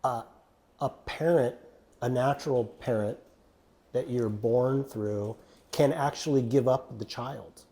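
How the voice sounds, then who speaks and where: Man, host, casual, affirming, chatty, warm tone. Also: medium pitch, takes it slowly. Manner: affirming, chatty, many fillers. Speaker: podcast host. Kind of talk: casual conversational interview.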